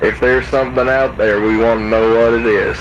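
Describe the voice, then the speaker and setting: A man's voice: medium pitch, fairly even intonation, fairly quick. A man chatting casually over a game's CB radio, rambling and repeating words for emphasis. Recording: dull radio sound, loud vehicle noise. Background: truck and road sounds.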